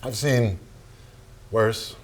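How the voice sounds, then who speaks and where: A man's voice: lowish voice, very slow. A volatile, brooding male painter. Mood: curt, dismissive. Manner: blunt, profane, brooding, given to repetition. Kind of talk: theatrical staged reading.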